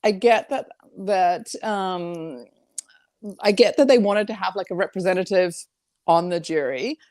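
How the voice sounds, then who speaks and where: A woman's voice: on the low side, a little slow, darkish tone, no echo. Chatty opinionated woman on a dry call. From Germany. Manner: chatty, opinionated, laughs often, many fillers. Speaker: co-host guest commentator. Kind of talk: casual video call chat.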